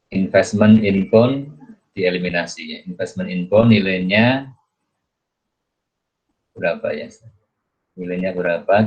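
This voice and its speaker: A man with a very deep voice gives an explanatory lecture. He works haltingly, posing questions and adding little check-in tags as he goes. The recording is muffled and lo-fi. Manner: repeatedly adds "ya" as a check-in tag; halting, thinking aloud with questions to the listener. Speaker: accounting lecturer. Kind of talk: explanatory screen lecture, working through a worksheet.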